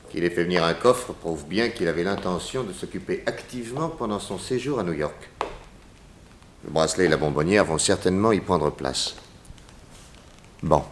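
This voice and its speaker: Deep-voiced, commanding detective. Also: male voice, slight background noise. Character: confident, commanding detective hero planning his move. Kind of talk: 1960s French radio detective serial acting.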